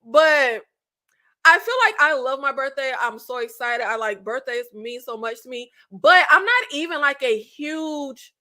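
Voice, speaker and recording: A woman in her late thirties chatting casually with her viewers as a channel host, animated and slangy, often laughing. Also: high-pitched, unhurried. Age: 30s.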